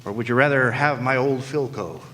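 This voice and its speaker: A man's voice: medium pitch, moderate pace, sharp tone, lightly acted. A man playing a wisecracking, world-weary private eye in old-time radio noir style, narrating with sardonic slang. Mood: brisk, flippant. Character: wisecracking, world-weary hard-boiled male private detective. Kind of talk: 1940s radio noir acting, first-person narration. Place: live stage performance in a hall. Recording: echoey hall, background noise.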